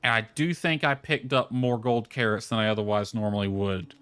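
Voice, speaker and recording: A man's voice: fairly low pitch, fairly even intonation, fairly quick, a bit bright, smooth. A man giving casual, rambling livestream commentary as he plays a game, thinking aloud as he goes. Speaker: game streamer. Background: background music.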